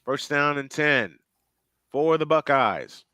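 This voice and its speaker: Male play-by-play caller, pitch jumps on big plays, repeats himself; dry, close sound. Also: lively intonation, takes it slowly, bright, forceful tone, smooth, no room sound. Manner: rises sharply in pitch and volume on big plays, repeats phrases. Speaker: play-by-play announcer. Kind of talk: live football play-by-play commentary.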